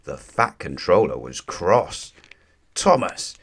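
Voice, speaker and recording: Male storybook narrator, low pitch, animated intonation, deliberate read-aloud delivery.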